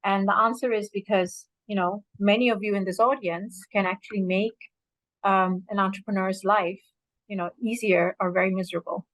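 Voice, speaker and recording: A woman's voice: medium pitch, steady tone, moderate pace, a bit bright, no echo, rough recording. A woman in her fifties from Bangladesh, speaking earnestly and reflectively to an audience, with many fillers. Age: 50s. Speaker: alumna speaker. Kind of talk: personal talk to an audience.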